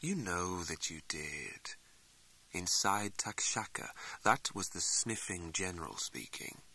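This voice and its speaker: A man's voice: low-pitched, takes it slowly, pushed and bright, exaggerated voice. A man voicing a suave, scolding older male mentor in an audiobook, arch, courtly and condescending. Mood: insistent, knowing. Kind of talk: audiobook character dialogue.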